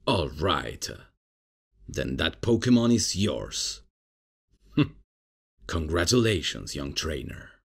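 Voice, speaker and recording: A man playing a laid-back, bumbling substitute professor in a comedic ASMR roleplay, casual and hesitant, with a deep, gravelly, husky voice. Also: very animated, slow, long pauses, exaggerated voice. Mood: casual, amused. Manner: casual, hesitant, chuckling, unprofessional. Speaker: roleplay audio performer.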